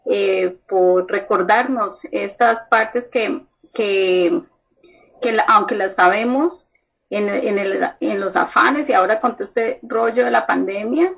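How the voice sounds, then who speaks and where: A woman thanking a speaker on a video call, halting with many fillers and restarts. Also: mid-range voice, vocal fry, a bit bright.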